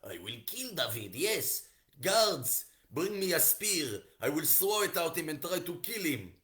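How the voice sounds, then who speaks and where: A man's voice: fairly high pitch, very animated, unhurried, fairly bright tone, voice pushed up. A man voicing an angry, imperious king in a theatrically told story. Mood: vengeful. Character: angry, petulant king plotting murder.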